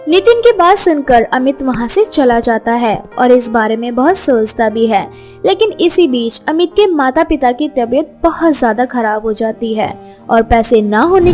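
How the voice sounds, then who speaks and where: A woman's voice: high-pitched, a little mellow. A woman narrating a scripted moral story as its storyteller.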